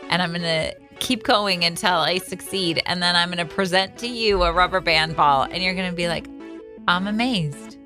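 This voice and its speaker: A woman's voice: on the low side, normal speed, sharp tone, faint music behind. A woman co-hosting a morning radio show, laughing often and firing back sassy, defiant comebacks. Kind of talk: playful morning radio banter.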